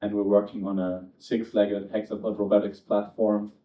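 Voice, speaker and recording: Man with a lowish voice at a panel. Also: level tone, moderate pace. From Germany. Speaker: panelist, master's student. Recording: echoey room, distant dull mic.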